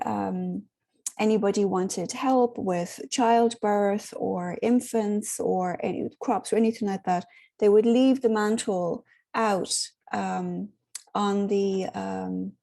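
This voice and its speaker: Hoarse woman with a soft, mellow voice. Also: mid-range voice. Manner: gentle, rambling, many ums. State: hoarse, sore throat. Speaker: spiritual workshop host. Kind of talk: casual talk to an online group.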